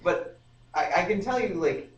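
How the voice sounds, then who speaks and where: A man's voice: sits high, expressive, distant mic. A young man chats loosely, sprinkling "like" through his sentences. The sound is dull and a bit lo-fi. He sits away from the mic in an echoing room. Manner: quick, informal, says "like" often. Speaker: podcast co-host. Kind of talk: casual discussion. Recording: dull, roomy live stream audio from afar.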